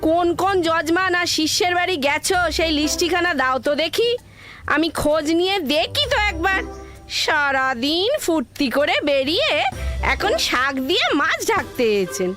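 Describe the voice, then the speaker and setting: A shrewish, suspicious village wife who scolds with sarcasm, as a woman acts her out. Her voice is high and sharp-edged, with faint music behind it in an amateur radio play. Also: animated, normal speed, heavily acted. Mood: scolding.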